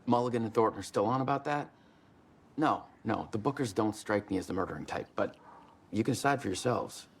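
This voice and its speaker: A man playing an easygoing, frank small-town sheriff in a game drama, plain-spoken, with a gravelly, husky voice. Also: fairly low pitch, animated, fairly quick, slight background noise. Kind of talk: game cutscene drama acting.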